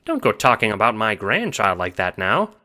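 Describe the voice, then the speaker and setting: A man voicing a stern yet warm old grandmother who teases fondly in an audio drama. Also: on the low side, huge pitch swings, moderate pace, exaggerated voice. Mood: reassuring. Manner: fussy, affectionate, hooting pet-name talk. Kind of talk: audio drama character acting.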